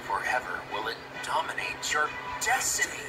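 An actor voicing an earnest, defiant young hero in an animated space opera, speaking emphatically. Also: male voice, mid-range voice, moderate pace, over loud music, natural voice. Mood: worried. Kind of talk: cartoon voice acting. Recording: distant playback with loud background noise.

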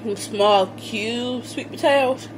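A woman's voice: a little high, takes it slowly. A young woman casually tasting food and commenting between bites, filmed close on a phone at a table indoors. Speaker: vlogger. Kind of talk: casual eating vlog chat. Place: small room indoors at a table. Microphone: phone camera close up. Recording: noisy home phone recording.

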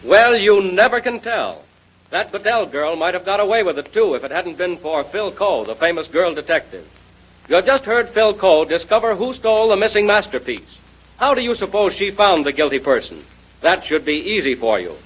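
A man's voice: sits high, big pitch swings, moderate pace, fairly bright tone, slightly rough, rough recording. A man with an American accent announcing in scripted 1930s radio style.

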